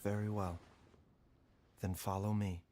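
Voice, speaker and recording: A man playing a calm, courteous doctor in a video game, speaking in a measured, formal way. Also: fairly low pitch, a little hiss or hum, natural voice. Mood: solemn. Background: game music.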